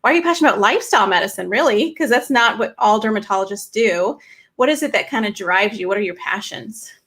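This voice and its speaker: A woman's voice: mid-range voice, lively intonation, fast talker, fairly bright tone. A woman hosting a podcast in a casual, chatty way, asking questions and encouraging her guest with emphatic remarks. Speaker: podcast host, doctor. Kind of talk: casual conversational interviewing.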